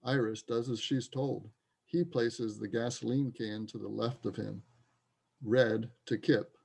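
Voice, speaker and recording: A man's voice: medium pitch, steady tone, unhurried, vocal fry, warm tone, breathy edge. A man narrating stage directions in a scripted reader's theater performance.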